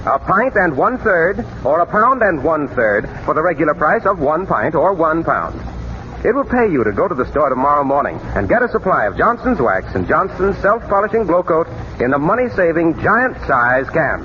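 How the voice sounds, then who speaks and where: Male vintage radio announcer, polished upbeat pitchman with wide swings of tone, scripted 1930s delivery, faintly noisy recording. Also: sing-song, normal speed, muffled recording.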